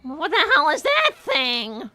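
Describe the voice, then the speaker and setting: A woman voicing a chatty, bossy young female cartoon hedgehog in an animated, indignant, improvised style. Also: slow, soft background music, heavily acted. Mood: exasperated. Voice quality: very high, girlish, bright. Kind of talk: improvised in-character livestream banter, reading viewer messages.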